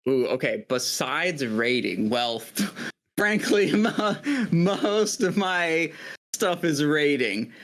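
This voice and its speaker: A man guesting on a gaming podcast, talking in a steady, informative, fairly monotone way with lots of "uh" and "like". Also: on the high side, slow. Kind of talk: casual podcast chat.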